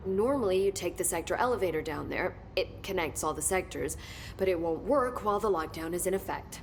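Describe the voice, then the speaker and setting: A woman's voice: lowish voice, expressive, brisk, slight background noise. A woman playing an earnest, eager agency scientist in a video game, explaining things with some hesitation. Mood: concerned, explanatory. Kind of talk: cinematic video game dialogue.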